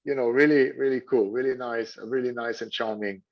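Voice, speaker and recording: A man answers an interviewer's questions, stopping and restarting often and leaning on "you know" between phrases. His voice sits in an ordinary middle pitch. The recording is a little dull and lo-fi. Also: fairly even intonation, creaky. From Switzerland. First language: French. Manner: halting, many "you know" fillers and repeated words. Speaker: hotel general manager. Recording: dull lo-fi audio.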